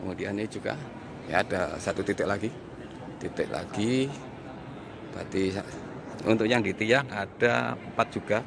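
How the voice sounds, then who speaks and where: Guy with a low gravelly voice doing a walkaround review, kinda halting, clear background noise. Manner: halting, explanatory, counting and pointing things out, repeats himself. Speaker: audio reviewer and vlog host. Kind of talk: walk-through review commentary, improvised. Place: inside a large mosque, walking around.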